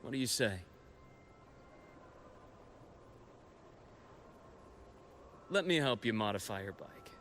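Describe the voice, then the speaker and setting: An actor playing a composed, knowledgeable expedition guide and scholar in a video game, telling history in a measured, reflective way. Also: male voice, on the low side, animated, fairly bright tone, a little hiss or hum. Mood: friendly, helpful. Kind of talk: scripted video game story dialogue.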